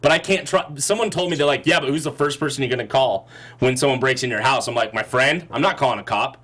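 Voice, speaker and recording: A man's voice: mid-range voice, fast, a bit bright. A man co-hosting a political panel discussion, talking in a heated, passionate way and raising his voice. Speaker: co-host.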